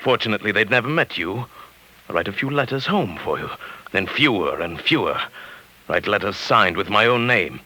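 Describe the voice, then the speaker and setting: An actor with a British accent playing a scheming, murderous middle-aged professor in a precise, menacing 1940s radio style. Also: male voice, on the low side, animated, normal speed, pushed and bright, slight background noise, low-quality recording. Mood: gloating, menacing. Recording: 1940s radio recording with music behind.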